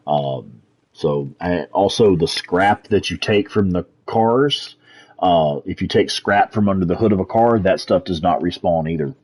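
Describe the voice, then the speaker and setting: A man's voice: sits low, mellow. A man with a Texas accent giving laid-back, chatty live commentary over gameplay as a streamer, answering chat and swearing casually. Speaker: gaming streamer.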